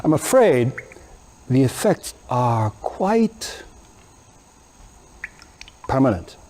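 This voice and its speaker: An actor playing a menacing, gloating interrogator with drawn-out, mock-polite speech in a dramatic game cutscene. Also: male voice, medium pitch, big pitch swings, darkish tone, slight background noise. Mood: gloating, sinister.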